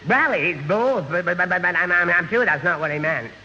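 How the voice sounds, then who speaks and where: A man's voice: sits high, brisk, pushed and bright, soft background music. A man playing a dim, bumbling, easily flustered young man who stammers and repeats himself, in an old radio comedy. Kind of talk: 1970 radio comedy acting. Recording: noisy, muffled, echoing old radio recording.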